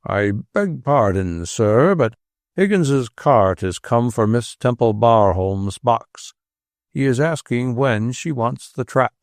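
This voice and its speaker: A man's voice: medium pitch, expressive, takes it slowly, dry recording, clean studio sound. A man voicing a butler who speaks with stiff, formal civility.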